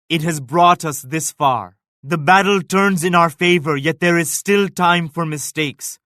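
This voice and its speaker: A proud, devout Indian emperor from a strategy game. His voice sits a little high for a man and is recorded close and dry. He speaks formally and solemnly, in measured declarations, like a pious ruler addressing a wartime ally. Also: fairly bright tone, no room sound. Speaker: video game leader character. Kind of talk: scripted strategy game voice lines.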